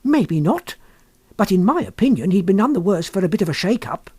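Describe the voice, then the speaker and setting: Male reader as shopkeeper's wife, disapproving, blunt, grumbling, raised swooping pitch, colloquial audiobook character. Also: very animated, brisk, darkish tone, slightly rough, dry recording, studio quality, exaggerated voice. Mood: relieved, disapproving.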